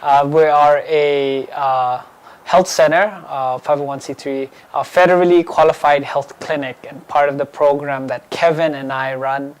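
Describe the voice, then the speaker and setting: Halting man with many fillers. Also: a little high, steady tone, smooth. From Hawaii, born in the Philippines. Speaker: program manager of a youth bike shop. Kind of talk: spoken welcome and introduction. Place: community bike shop, on site.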